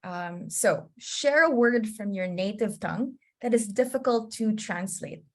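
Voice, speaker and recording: A woman's voice: medium pitch, measured pace. A woman presenting an online teaching webinar over a video call, chatty and often checking in with her listeners. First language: English. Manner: chatty, often checks in with "you know?" and "okay?".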